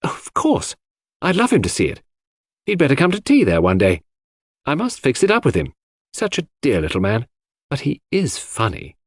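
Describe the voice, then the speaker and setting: A man voicing a gentle young woman who speaks in a hesitant, plaintive, confiding way in an audiobook. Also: medium pitch, wildly swooping pitch, normal speed, no room sound, voice pushed up. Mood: fond, amused.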